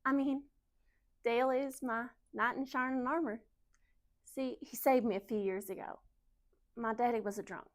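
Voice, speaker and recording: A woman performing a dramatic monologue as an anxious, naive young wife, halting and confiding. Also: fairly high pitch, natural voice. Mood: defensive, insistent. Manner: halting, confiding, emotional storytelling. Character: anxious young Southern wife, naive, defending her husband. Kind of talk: dramatic monologue in speech competition.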